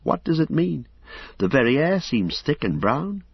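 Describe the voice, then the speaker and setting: Man voicing a young hobbit, high husky voice, breathless, pleading, storybook reading. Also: big pitch swings, unhurried, no echo, exaggerated voice. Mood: uneasy. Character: young male hobbit, anxious and excitable. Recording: dull lo-fi audiobook recording.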